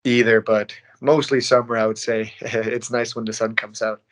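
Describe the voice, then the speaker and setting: A man's voice: medium pitch, flat delivery, moderate pace. A man from Denmark in his late twenties answering interview questions as a professional ice hockey player, casual and laid-back. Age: late 20s. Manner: laid-back, not loud.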